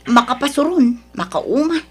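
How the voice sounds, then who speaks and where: A woman acting a high, husky, fed-up wife. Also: expressive, normal speed. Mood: exasperated. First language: Ilocano. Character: fed-up, complaining wife of a sickly husband. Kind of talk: Ilocano audio drama acting.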